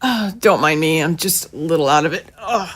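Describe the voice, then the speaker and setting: A woman playing a gravely ill, gentle mother in an audio drama, talking weakly between sighs and coughs. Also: low voice, brisk. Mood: loving, fading. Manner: weak, breathy sighs and coughing fits.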